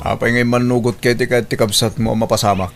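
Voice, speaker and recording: An actor with a Filipino accent playing an irresponsible, argumentative and dismissive husband in a radio drama. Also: male voice, mid-range voice, steady tone, fairly quick, vocal fry, over music, close to an everyday voice. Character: irresponsible, gruff husband with vices, defensive. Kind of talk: Ilocano radio drama acting.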